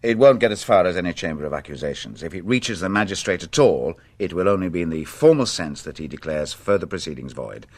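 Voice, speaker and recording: Deep-voiced, shrewd police inspector. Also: male voice, animated, brisk, hardly any pauses. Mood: deferential. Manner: polite, guarded, understated. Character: shrewd, dogged, deferential European police inspector. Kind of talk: radio drama acting.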